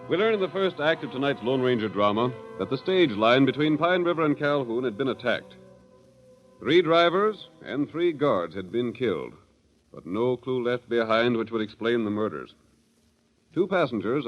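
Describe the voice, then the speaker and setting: A man's voice: medium pitch, lively intonation, moderate pace. A man with an American accent giving formal, dramatic scripted narration for a 1930s radio drama. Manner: formal, dramatic announcer delivery. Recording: muffled 1930s radio recording. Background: music.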